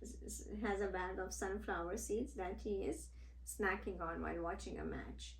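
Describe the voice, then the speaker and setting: A woman reading a storybook aloud to children in an expressive, deliberate way, with explanatory asides. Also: fairly low pitch, a little hiss or hum. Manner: deliberate, dramatic pauses and repeats. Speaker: storybook reader and teacher. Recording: roomy, echoey sound.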